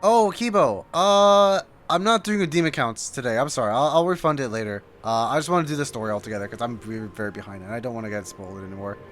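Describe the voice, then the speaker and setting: Halting, informal man reacting to a game. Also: medium pitch, fairly bright tone. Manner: informal, halting, repeats himself. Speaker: streamer reacting to a video game. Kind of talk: casual live reaction commentary.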